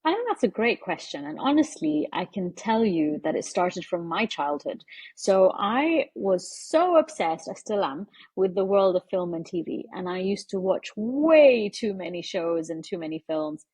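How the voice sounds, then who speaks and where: A woman who grew up in London, answering interview questions in an earnest, measured way with frequent fillers. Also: medium pitch, big pitch swings, a little mellow, slightly rough, dry recording. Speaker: interview guest, media executive.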